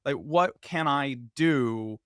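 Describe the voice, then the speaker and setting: Host, male, mid-pitch, dry close-mic sound, probing questions. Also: takes it slowly, clean tone, no echo. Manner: probing, plays the worried listener. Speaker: podcast host. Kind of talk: conversational interview.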